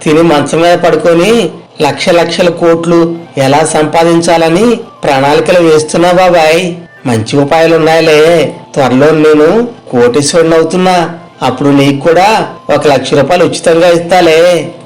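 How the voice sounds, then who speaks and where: A man's voice: fairly high pitch, fairly even intonation, normal speed, a little mellow, close to an everyday voice, poor audio. A man playing an earnest, boastful young villager in a moral story, with emphatic, familiar banter. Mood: smug. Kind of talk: dramatized moral story dialogue.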